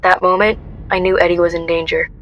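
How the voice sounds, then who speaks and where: A woman's voice: fairly low pitch, little pitch movement, brisk, dull audio, natural voice. A woman playing a defiant, haunted young woman on the run, telling her story earnestly in a radio drama. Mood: anguished narration. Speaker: lead actor and narrator in an amateur radio drama. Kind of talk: first-person dramatic narration and radio drama acting. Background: music.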